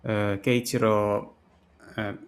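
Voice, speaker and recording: A man's voice: fairly low pitch, flat delivery. A man hosting a gaming video, casually translating a visual novel aloud and searching for his words as he goes. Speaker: gaming channel host. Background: music.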